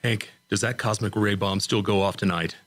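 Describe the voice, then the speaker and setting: A man's voice: lowish voice, fairly even intonation, normal speed, a bit bright. A man acting a shaken, earnest test pilot in a radio drama. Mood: shaken, subdued.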